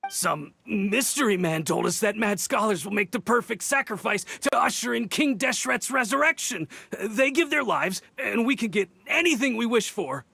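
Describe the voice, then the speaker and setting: High-pitched, creaky, pleading cowardly bandit. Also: male voice, expressive, moderate pace, few pauses, pushed and bright, no room sound, exaggerated voice. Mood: nervous confessing. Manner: pleading, stammering. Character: frightened cowardly desert bandit man, pleading captive. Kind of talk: video game voice acting.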